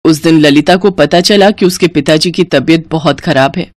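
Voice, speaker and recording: A woman narrating a scripted moral story in an expressive storytelling manner. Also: sits low, normal speed, slight background noise.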